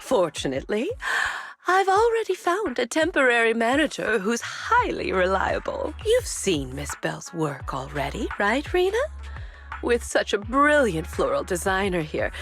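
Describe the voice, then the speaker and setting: A woman's voice: mid-range voice, huge pitch swings, measured pace, a bit bright, faint music behind, exaggerated voice. A woman playing a self-assured, articulate lady florist in a scripted video game. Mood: confident, pleased.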